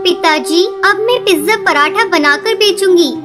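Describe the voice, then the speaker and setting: A sweet, eager young bird girl from a cartoon. The voice is extremely high and childlike, with an earnest manner. Music plays clearly behind it. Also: normal speed. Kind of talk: Hindi cartoon story acting. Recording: music under the voice.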